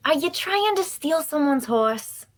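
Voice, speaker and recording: Woman doing a nosy cheerful fantasy traveler girl, folksy and teasing, pitch swings all over. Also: animated, a little slow. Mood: suspicious, nosy. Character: chatty, nosy, cheerful young female traveler. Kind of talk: dark fantasy audio drama table read.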